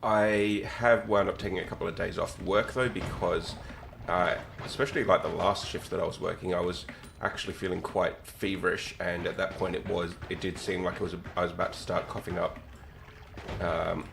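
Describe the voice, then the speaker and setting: Husky, gravelly deep-voiced man streaming. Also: little pitch movement, moderate pace, background noise. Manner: rambling, thinks aloud while reacting to the game. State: husky from illness, recovering. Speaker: game streamer. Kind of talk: casual live-stream chat while gaming.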